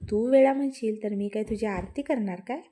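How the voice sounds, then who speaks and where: A woman's voice: medium pitch, normal speed, warm tone, close to an everyday voice. A woman doing a brash young college guy. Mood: irritated. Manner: indignant, mocking, raised voice. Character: hot-tempered young male college student.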